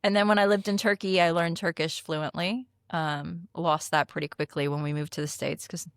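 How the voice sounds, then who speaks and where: A middle-aged woman from the Philippines, a native Tagalog speaker, talks in an earnest, conversational way, often pausing on "um" and laughing lightly. Her voice sits fairly low. The sound is close and dry, with no room echo. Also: fairly even intonation, clear, resonant tone. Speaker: guest. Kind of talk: conversational interview.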